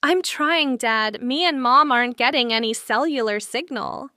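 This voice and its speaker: A woman's voice: on the high side, moderate pace, pushed and bright, clean tone, no echo, studio quality, pitched above the performer's own voice. A woman voicing an anxious young daughter in an audiobook drama. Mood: frustrated, anxious. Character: anxious young daughter answering her father.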